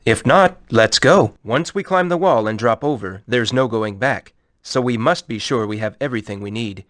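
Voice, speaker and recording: A man's voice: medium pitch, moderate pace, fairly bright tone, no room sound, lightly acted. Game casting: dutiful soldier acted by a man, mid-range voice, formal command style, dry studio sound. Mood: determined. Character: dutiful, prudent male military officer of a fantasy federation. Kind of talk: English video game voice acting.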